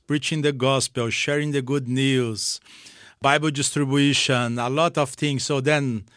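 Male missions-leader guest, unhurried delivery, simple emphatic phrasing, dry studio. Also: mid-range voice, a bit bright, clean tone, no room sound. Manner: simple non-native phrasing, emphatic repetition. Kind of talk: personal testimony in a radio interview. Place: radio studio.

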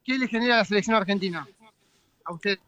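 A Rioplatense woman reporting live from the street, chatting briskly with fans. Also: medium pitch, a bit bright, rough recording. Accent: Rioplatense Spanish.

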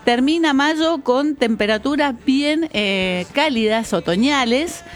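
A woman's voice: high-pitched, lively intonation, takes it slowly. A woman from Mendoza with an Argentine accent giving the weather on morning radio in a chatty way, with tag questions. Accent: Argentine Spanish. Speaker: radio co-host.

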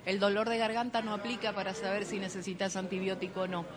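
A woman with an Argentine accent, a doctor giving health advice on a radio show in a lively, explanatory way. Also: medium pitch, fast talker, a crowd in the background. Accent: Argentine Spanish. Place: radio studio.

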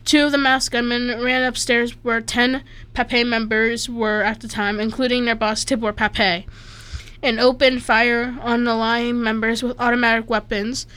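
Woman from Slovakia, bright high voice, reads notes casually, trips on names. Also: level tone, unhurried, clean tone, low-quality recording. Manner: stumbles over names, self-deprecating asides. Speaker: co-host reading an article. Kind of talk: casual chat, reading aloud.

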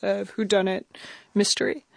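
A woman interviewing conversationally for radio, putting her questions in a measured way with pauses. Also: medium pitch, little pitch movement, creaky, no echo.

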